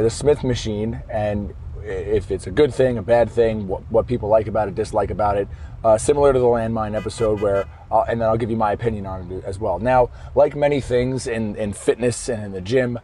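A hoarse man hosting a fitness podcast from his car in traffic, explaining things casually and often correcting himself mid-sentence. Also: lowish voice, steady tone, moderate pace, darkish tone. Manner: conversational, explaining step by step with frequent self-corrections. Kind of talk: casual solo podcast monologue. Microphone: podcast microphone.

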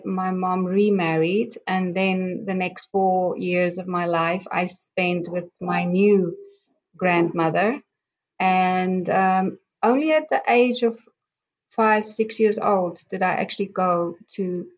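A middle-aged woman from South Africa, a native Afrikaans speaker with a South African accent, slowly telling her life story as a guest, with many pauses and ums. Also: lowish voice. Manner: slow, halting, many ums and restarts. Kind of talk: reflective personal storytelling. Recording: dull lo-fi sound.

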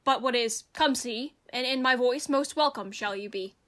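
A woman's voice: on the high side, moderate pace, fairly bright tone, natural voice. A woman playing a humble, kindly shepherd in a Shakespeare radio play, speaking earnestly and deferentially. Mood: humble, welcoming. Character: humble kindly old country shepherd, a man.

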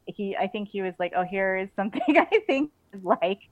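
A woman's voice: mid-range voice, big pitch swings, brisk. A woman chatting casually and enthusiastically as an interview guest, in an informal way. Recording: narrow phone-like call audio.

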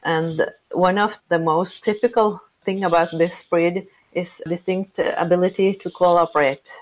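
Slow-speaking Norwegian woman on a dull line. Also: lowish voice, steady tone. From Norway. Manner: slow, careful, hesitant. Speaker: guest, dog breeder. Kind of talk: interview answers.

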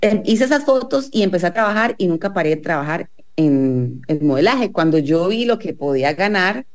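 A woman's voice: fairly low pitch, lively intonation, normal speed. A woman in her thirties, a guest on a radio talk show, chatting and telling stories with lots of fillers. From Colombia, Medellín. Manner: chatty storyteller, many "o sea" fillers. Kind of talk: casual interview chat. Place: radio studio.

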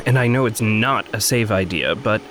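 An actor playing an earnest, anxious, conscientious man in an audio drama, speaking haltingly and trailing off. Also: fairly low pitch, a bit bright, slight background noise, lightly acted. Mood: troubled, earnest. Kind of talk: naturalistic audio drama acting.

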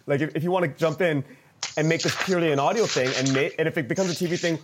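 A man speaking as a podcast creator in an informal roundtable, halting and often restarting his sentences. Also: on the high side. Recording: noisy voice track with clicks.

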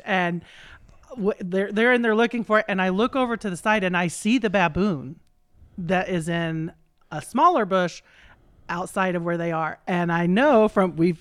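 A woman's voice: fairly low pitch, no echo. Chatty American woman, dry close sound. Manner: chatty, acts out quoted dialogue. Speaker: podcast guest. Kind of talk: casual conversational storytelling.

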